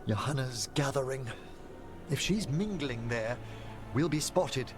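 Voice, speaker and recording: A man's voice: medium pitch, lively intonation, over music, close to an everyday voice. An actor playing a courteous older male necromancer in a video game, speaking formally and with care. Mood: composed concern. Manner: formal, articulate, measured. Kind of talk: scripted video game acting.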